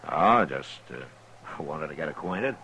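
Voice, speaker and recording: An actor playing a calm, determined U.S. Marshal in a radio western, speaking in an unhurried, understated, firm manner. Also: male voice, a bass voice, creaky, slight background noise, heavily acted, poor audio. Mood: casual, firm. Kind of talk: 1950s radio western acting.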